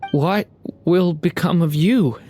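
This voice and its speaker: Young dragon boy for a fantasy game acted role, earnest and heartfelt, mid-pitched youthful hero, music underneath. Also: slow pace, a little mellow, lightly acted. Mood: wistful, earnest. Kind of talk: scripted game voice acting.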